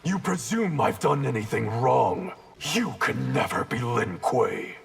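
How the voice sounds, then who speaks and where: A cold-blooded clan master from a fighting game. His very gravelly voice moves slowly but swings in pitch, curt and threatening. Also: sing-song, heavily acted. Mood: cold menace. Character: cold, menacing male ninja clan master, contemptuous. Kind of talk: video game fight banter.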